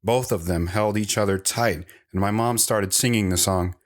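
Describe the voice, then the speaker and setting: A man's voice: fairly low pitch, normal speed, close to an everyday voice. A man acting a reflective lone wanderer who narrates his boyhood in an audio drama.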